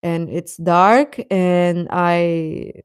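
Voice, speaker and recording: Female live stream host: unhurried delivery, lowish pitch, informal and a little hesitant, talking straight to viewers. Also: flat delivery, clean tone, dry recording, studio quality.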